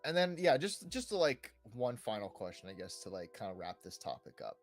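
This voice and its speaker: A man's voice: fairly low pitch, very animated, brisk, faint music behind. A young man hosting a casual gaming podcast, speaking informally and conversationally with plenty of filler words. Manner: informal, conversational, repeats "like" often. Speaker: podcast host. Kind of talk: casual chat about video games.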